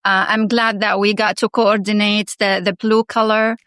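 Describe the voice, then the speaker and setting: A woman's voice: mid-range voice, little pitch movement, normal speed, smooth, no room sound. A middle-aged woman from Syria talks as an interview guest, thinking aloud with frequent restarts and small laughs. The sound is dry and close, with no room around her. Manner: warm, reflective, many restarts and fillers, laughs often. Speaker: guest, education founder and former principal. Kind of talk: casual interview conversation.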